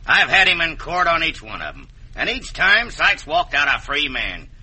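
An actor playing a blunt, wary Old West man in a radio western, with a gruff American western drawl. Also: a little high, wildly swooping pitch, normal speed, bright, forceful tone, full character voice. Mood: frustrated, warning. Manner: folksy, blunt frontier drawl.

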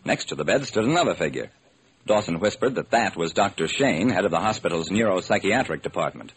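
An actor playing a wry, sharp-witted detective who narrates and acts in an old-time radio drama. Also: male voice, low voice, expressive, brisk. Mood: wry, narrating. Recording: noisy vintage radio recording.

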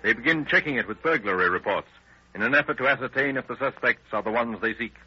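A man's voice: mid-range voice, quick pace, slightly rough, faint music behind. A man narrating an old radio crime drama in a dramatic, scripted style. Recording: muffled old radio recording.

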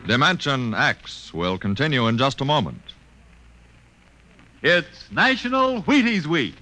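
Bright, swooping American radio announcer. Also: male voice, medium pitch, sing-song, a little slow, faint music behind. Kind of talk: 1950s radio advertising announcing.

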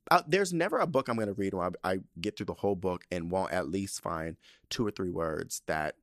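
A man in his thirties talks through his podcast in a loose, rambling style, swearing freely. His low voice swings widely up and down. The sound is dry and close, with no room around it. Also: fairly quick, no echo. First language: not Spanish. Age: 30s. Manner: animated and profane, with loose, rambling asides. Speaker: podcast host. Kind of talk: casual solo podcast talk.

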